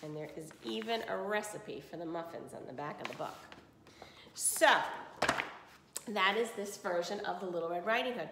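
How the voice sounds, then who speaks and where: A woman's voice: lowish voice, normal speed, noisy background. A woman in her forties teaching children, reading aloud and explaining casually. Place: small indoor room at home. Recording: roomy home video recording.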